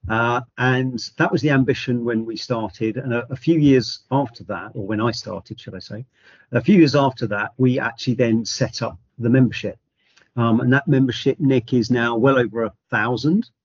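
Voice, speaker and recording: Husky-voiced man, dry dull recording. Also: mid-range voice, dry recording. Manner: measured, many ums and restarts. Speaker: outgoing charity chair. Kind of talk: interview answer.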